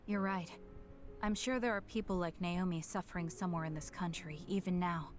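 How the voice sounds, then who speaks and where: A woman voicing a composed, resolute young soldier in a video game drama, guarded but caring, with a cool and deliberate delivery. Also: lowish voice, fairly even intonation, natural voice. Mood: relieved, supportive. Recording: loud music behind the voice. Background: background music.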